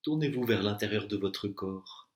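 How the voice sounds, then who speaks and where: A man with a fairly low voice leads a guided relaxation as a meditation teacher. He gives his instructions slowly and deliberately, leaving long pauses between phrases. The recording has a clearly echoey room sound. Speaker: yoga nidra and meditation teacher.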